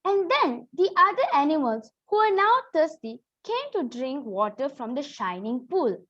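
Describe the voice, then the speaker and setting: A woman's voice: high voice, big pitch swings, a little slow, fairly bright tone. A young female student narrating a children's story as scripted readers theater. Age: teenager or young adult student. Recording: slightly dull, muffled audio.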